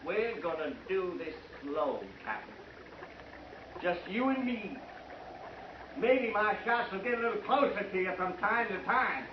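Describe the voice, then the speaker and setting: A man's voice: high voice, animated, unhurried, far from the mic, very noisy. An actor playing a bitter, vengeful frontier gunman who is a coward at heart, taunting in a menacing drawl in a 1950s radio drama. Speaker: Patchen. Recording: dull echoey old radio recording, off-mic.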